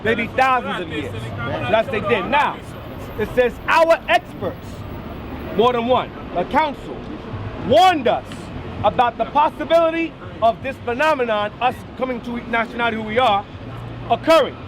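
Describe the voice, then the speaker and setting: A man's voice: extremely high pitch, animated, normal speed. A man preaching on the street, reading a text aloud and stressing key words, with commentary in between. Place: outdoors on a busy street. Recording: outdoor street recording with crowd noise.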